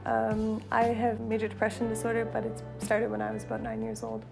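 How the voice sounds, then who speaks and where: A woman's voice: fairly high pitch, completely flat delivery, a little fast, vocal fry. A woman in her twenties from Nova Scotia speaking candidly and reflectively in a documentary interview. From Nova Scotia, Canada. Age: 20s. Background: background music.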